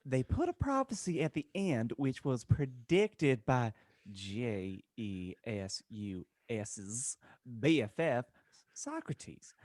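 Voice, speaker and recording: A man's voice: mid-range voice, a little mellow, dry recording. A man voicing a patient, preachy adult explaining a prophecy in a comic dramatic reading of fan fiction. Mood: solemn.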